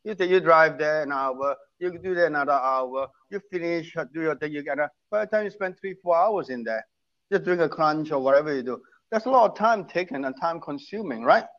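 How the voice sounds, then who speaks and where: A man's voice: on the high side, expressive, fairly quick, fairly bright tone. A man in his sixties, talking casually and emphatically, often repeating his points. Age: 60s. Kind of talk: casual chat. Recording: muffled lo-fi audio.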